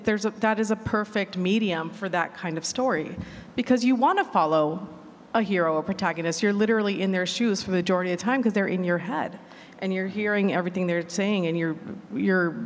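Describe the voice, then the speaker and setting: A woman explaining her ideas casually as a writer on a panel. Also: mid-range voice. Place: large reverberant hall at a live panel. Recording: echoey hall reverb.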